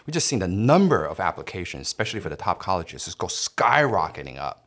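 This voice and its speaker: A man hosting a podcast in casual, animated chat and laughing easily with his guests. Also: sits low, quick pace, husky. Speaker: podcast host.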